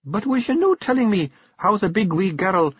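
A clever Scottish fairy wife who runs her clan with a sweet, dangerous calm, as a man voices her. Her voice sits raised and measured with a husky grain. The recording is dry and slightly dull. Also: high voice, no echo, pitched above the performer's own voice, heavily acted. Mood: sweetly stern. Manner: sweet, measured and quietly menacing. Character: shrewd young fairy wife and clan mother.

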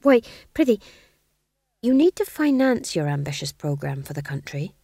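Storybook court jester, voiced by a woman, male, nervous, quick-witted, placating, eager glib patter, dry close sound. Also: sits low, very animated, warm tone, dry recording, full character voice. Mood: wheedling.

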